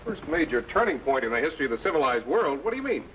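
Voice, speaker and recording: An eager young lab assistant in an old sci-fi serial, rattling off quick questions in a bright, lively voice. His pitch leaps about as he reacts. An old lo-fi broadcast with a faint hiss. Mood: curious. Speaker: captain's assistant. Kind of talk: 1950s radio-style sci-fi acting.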